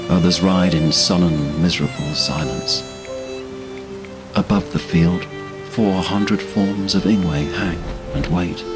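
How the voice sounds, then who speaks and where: A man giving solemn scripted narration for a fantasy audio drama. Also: sits low. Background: orchestral string music.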